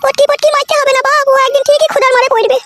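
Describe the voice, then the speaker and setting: A man voicing a scheming, foul-mouthed village mother in an amateur comedy cartoon, with a rural West Bengali accent, a dramatic scolding manner and a pitched-up voice. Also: flat delivery, racing, music in the background. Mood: bitter lament.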